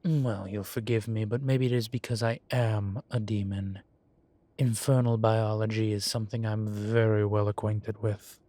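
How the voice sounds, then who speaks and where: A man's voice: fairly low pitch, flat delivery, close to an everyday voice. A man playing a droll, proud, genteel demon professor who lectures a student in a scripted roleplay audio. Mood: patient lecturing. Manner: unhurried, genteel, gently lecturing. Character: droll, proud, urbane male demon professor, centuries old. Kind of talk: scripted roleplay audio, one-sided conversation.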